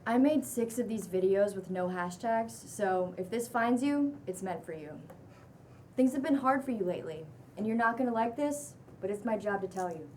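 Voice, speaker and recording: Woman as a calm, mellow, stern online guru. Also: mid-range voice, natural voice. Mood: stern, soothing. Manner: earnest, measured motivational delivery. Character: calm, attractive thirties non-binary social media guru, friendly but stern. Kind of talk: cold table read of a pilot script. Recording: distant mic, faint room noise.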